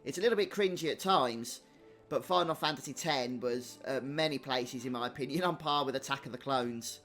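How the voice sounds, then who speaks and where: A man giving casual, chatty live commentary as a gaming streamer, offering measured opinions. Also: mid-range voice, fairly bright tone, soft background music. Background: game soundtrack.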